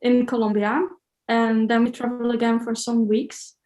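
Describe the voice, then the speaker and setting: A woman's voice: fairly high pitch, flat delivery, moderate pace, a little mellow, roomy sound. A woman from the Netherlands with a Dutch accent, answering interview questions in a casual, thoughtful, hesitant way. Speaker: interview guest, solo traveller.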